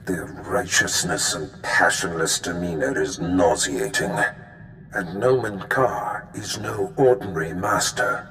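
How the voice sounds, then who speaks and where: An actor playing a scheming, contemptuous Sith master in a video game, with a formal, grandiose and menacing manner. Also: male voice, low voice, a little slow, pushed and bright, slight background noise, heavily acted. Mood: stern, threatening. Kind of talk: video game cutscene acting. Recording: game music behind the voice.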